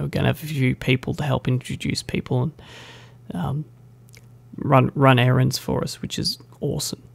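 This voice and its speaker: A young man talks casually straight to the camera, rambling and poking fun at himself. His voice has a gravelly edge. The sound is close and dry, with no room echo. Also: mid-range voice. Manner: chatty and self-deprecating, with fillers. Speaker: meetup organiser. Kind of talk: casual vlog announcement.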